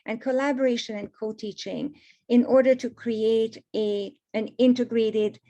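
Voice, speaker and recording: A woman's voice: fairly high pitch, steady tone, a little slow, warm tone, muffled recording. A woman in her fifties from Hungary, with a Hungarian accent, giving a gracious, emphatic webinar presentation over a video call.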